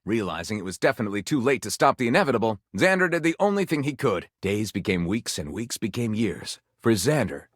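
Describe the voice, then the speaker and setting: A melodramatic male storyteller for a video game, spins pulpy adventure tales as grand theatre. His pitch swings widely and he stretches out suspenseful pauses with overwrought relish. The recording is close and dry. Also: fairly bright tone, no echo. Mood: grandiose, breathless. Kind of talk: scripted dramatic narration for a video game.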